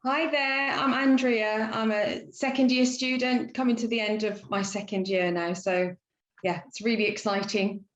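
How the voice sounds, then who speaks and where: A woman's voice: a little high, fairly even intonation, normal speed, muffled recording. Thoughtful woman in an echoey room. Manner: reflective, hesitant, many fillers. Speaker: student guest. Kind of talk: casual podcast chat.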